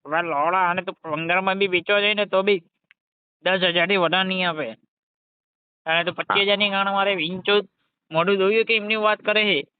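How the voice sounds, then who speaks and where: A man's voice: high-pitched, fairly even intonation, brisk, clean tone, dry recording, close to an everyday voice. A man playing an exasperated, foul-mouthed garage mechanic, scolding impatiently in a scripted comedy phone call. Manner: scolding, impatient, swearing constantly. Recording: dull lo-fi phone call recording.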